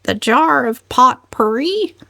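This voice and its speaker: A woman's voice: high-pitched, expressive, slow, gravelly, bright, forceful tone, no echo, voice pushed up, exaggerated voice. A woman voicing a prim, scandalized older female cousin who tattles, in a dramatic novel reading. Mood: tattling.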